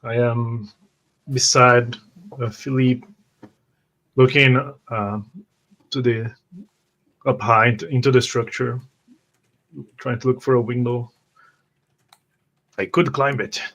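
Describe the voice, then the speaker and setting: Halting mid-pitched male roleplayer. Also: flat delivery, a bit bright, rough recording. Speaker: tabletop roleplay player. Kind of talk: in-character first-person roleplay.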